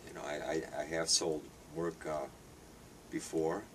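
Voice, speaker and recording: Deep-voiced guy in his 50s, from hungary, lots of uh and restarts, sounds a bit far from the mic, faint background hum. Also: level tone, a little slow. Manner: hesitant, many fillers and restarts. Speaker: street paper vendor and artist. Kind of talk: interview answers.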